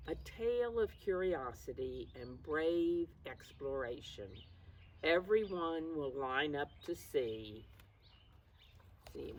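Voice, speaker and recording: Mature woman, preschool storytime teacher, animated intonation, encouraging, explains things simply; distant mic with faint noise behind. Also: low-pitched. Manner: encouraging, addresses young children directly. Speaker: preschool teacher reading a picture book. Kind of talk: children's read-aloud with simple explanations.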